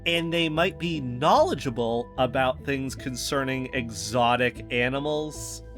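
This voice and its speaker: A man's voice: medium pitch, over music, natural voice. A man playing a determined amateur investigator who argues persuasively in an audio drama. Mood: leading. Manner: persuasive, deliberate. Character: Kyle, determined amateur investigator pitching plans.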